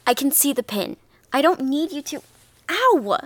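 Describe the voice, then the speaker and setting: A woman's voice: high-pitched, sing-song, measured pace, fairly bright tone, no echo, heavily acted. A woman playing a reluctant, sullen girl who answers her mother in terse, grudging phrases in an audio drama. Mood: irritated. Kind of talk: naturalistic audio drama acting.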